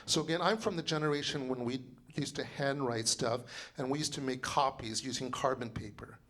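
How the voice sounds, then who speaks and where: A man in his sixties, a composer and librettist, giving a loud, rambling informal lecture full of fillers and restarts. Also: mid-range voice, brisk. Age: 60s.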